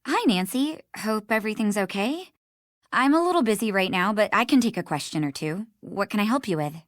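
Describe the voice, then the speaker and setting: A woman's voice: medium pitch, animated, a little fast, no echo, clean studio sound, natural voice. An actress playing a busy, caring woman in a video game, protective yet helpful, with a casual, earnest manner. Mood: busy, friendly.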